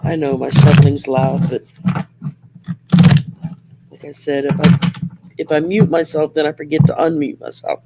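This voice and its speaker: A middle-aged woman with a growly, gravelly voice from throat surgery, chatting casually on a livestream while pulling tarot cards, rambling and restarting often. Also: sits low, little pitch movement, a little slow, pauses often, heavy background noise. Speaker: host and tarot card reader. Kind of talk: casual livestream chat with card reading.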